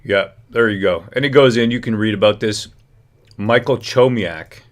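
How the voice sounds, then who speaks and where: A man with a low voice hosts a live commentary stream and presses his points hard to the audience. He sounds close to the mic. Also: normal speed. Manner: emphatic, talks straight to viewers. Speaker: livestream host. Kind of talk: political commentary livestream.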